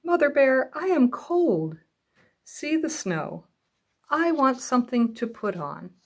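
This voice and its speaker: A woman voicing an eager little bear child from a storybook, speaking in simple, slow exclamations. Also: high-pitched, big pitch swings, husky, voice pushed up, exaggerated voice. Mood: plaintive.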